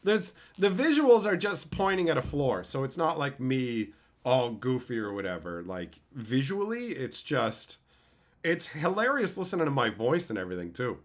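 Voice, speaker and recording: A man with a loud voice chatting casually on a live stream, swearing and laughing often. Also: medium pitch, very animated, moderate pace. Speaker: streamer host.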